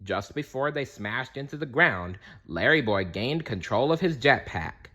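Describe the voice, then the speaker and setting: 느리고 표현력 풍부한 남자 동화 낭독자. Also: male voice, mid-range voice, lively intonation, unhurried, sharp tone, muffled recording. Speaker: storybook reader. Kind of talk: scripted children's read-aloud narration.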